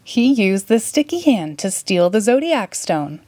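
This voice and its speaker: A woman narrating a children's story in a clear, expressive read-aloud manner. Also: medium pitch, unhurried, no room sound. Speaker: storybook reader.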